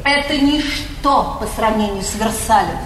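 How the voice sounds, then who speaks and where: A woman's voice: fairly high pitch, measured pace, close to an everyday voice. An actress playing a vain, effusive aristocratic lady in a stage comedy, with affected speech full of French endearments. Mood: rapturous boasting. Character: gushing, vain aristocratic lady, a princess in 1800. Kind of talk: theatrical stage comedy acting. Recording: echoey theatre hall, noisy 1980s recording.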